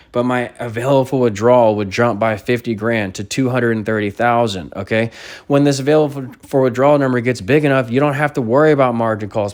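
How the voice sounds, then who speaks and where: Middle-aged man explaining casually. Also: mid-range voice. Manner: repetitive, seeks agreement with frequent "okay?" and "right?". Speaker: personal finance video host. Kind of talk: casual explanatory monologue over screen recording.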